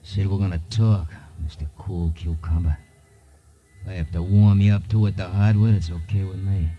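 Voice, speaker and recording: A man's voice: sits low, steady tone, normal speed. An actor playing a surly, threatening American gangster henchman in a noir radio drama. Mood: menacing. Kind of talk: 1950s noir radio acting. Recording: dull vintage radio recording.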